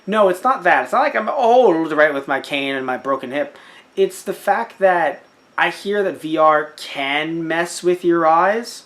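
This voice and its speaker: A young man co-hosting a casual podcast, animated and jokey, slipping into silly voices. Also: fairly high pitch, measured pace. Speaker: podcast co-host. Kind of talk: casual chat.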